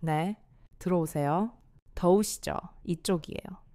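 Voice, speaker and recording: A woman's voice: fairly low pitch, measured pace, mellow, dry recording, studio quality, lightly acted. A woman voicing a lonely older wife, hesitant and introspective, in an audio drama. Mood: shy, flustered.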